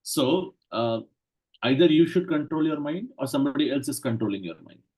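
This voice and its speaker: A man gives a lecture in in short, measured phrases and stops after each one so it can be interpreted. His voice is husky and a little rough, pitched in an ordinary middle range. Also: animated, darkish tone. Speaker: Ayurveda lecturer. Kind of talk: lecture in English, interpreted consecutively.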